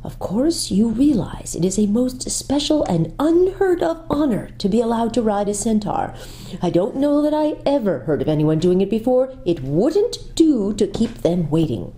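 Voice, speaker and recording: Woman doing a young male fawn, polite, eager, chatty, higher voice. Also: sing-song, moderate pace, warm tone. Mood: earnest. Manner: chatty, earnest, courteous.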